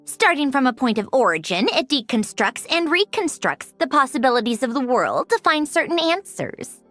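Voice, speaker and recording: A woman playing a proud, aloof, sharp-tongued young genius in a video game, with a dry, lecturing manner. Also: sits high, very animated, moderate pace. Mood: smug, lecturing. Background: background music.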